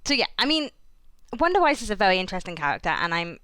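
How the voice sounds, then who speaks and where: A woman co-hosting a casual anime podcast, thinking aloud in a measured way with fillers. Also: mid-range voice, big pitch swings, sharp tone, clear, resonant tone, dry recording. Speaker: podcast co-host.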